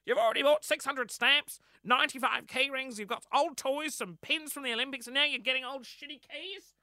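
A man putting on the voice of his exasperated, scolding middle-aged mother, nagging and shrill. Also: a little fast, bright, forceful tone, no room sound, clean studio sound, heavily acted.

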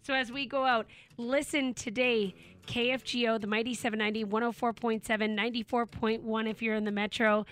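A woman's voice: a little high, little pitch movement, brisk, hardly any pauses, fairly bright tone, faint music behind. A woman from North Dakota, bantering playfully as a radio host in a studio. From North Dakota, USA.

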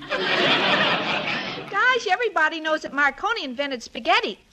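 A woman's voice: high voice, very animated, measured pace, very noisy. An actress playing a sweet, dim-witted young woman in a 1940s radio comedy, naive, earnest and literal-minded, on an old recording. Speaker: comic lead of radio sitcom.

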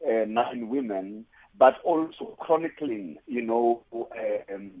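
A South African man giving conversational interview answers in a halting way, often saying "you know". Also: medium pitch, lively intonation. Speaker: guest, theatre playwright and producer. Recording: tinny phone-like line.

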